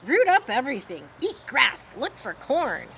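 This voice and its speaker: Woman as a storybook goose, bossy, excitable, repetitive, high and swooping, faint background noise. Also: gravelly, exaggerated voice. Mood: enthusiastic. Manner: repeats phrases rapidly, exhorting.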